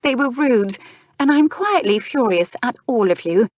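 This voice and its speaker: Woman playing a polite, flustered young lady, raised pitch. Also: animated, unhurried, no echo. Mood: earnest. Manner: apologetic, earnest, well-mannered. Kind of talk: historical romance audiobook character.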